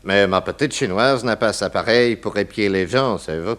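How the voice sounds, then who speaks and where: An actor playing a talkative, pointed character in a 1960s French radio mystery, emphatic and fond of questioning tags. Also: male voice, fairly low pitch, normal speed, a bit bright, close to an everyday voice. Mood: confiding.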